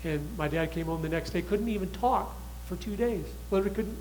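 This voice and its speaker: Guest man, fairly high voice, urgent and emphatic delivery, faint hum behind. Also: a little fast, a little mellow, rough recording. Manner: urgent, emphatic, with restarts and retold quotes. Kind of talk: impassioned talk show monologue.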